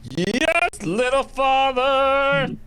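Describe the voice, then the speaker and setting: A man's voice: extremely high pitch, lively intonation, extremely slow, fairly bright tone, smooth, full character voice. A man playing a servile, exaggerated henchman devoted to his master in a 1940s-style radio play. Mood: fawning.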